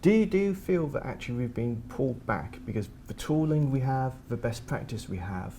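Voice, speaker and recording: A man co-hosts a podcast with a mellow, dark tone. He asks reflective questions and repeats words now and then as he thinks. A little ambient noise is behind him. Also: medium pitch, lively intonation, a little fast. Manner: reflective, slight repetitions. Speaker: podcast co-host. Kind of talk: conversational podcast interview.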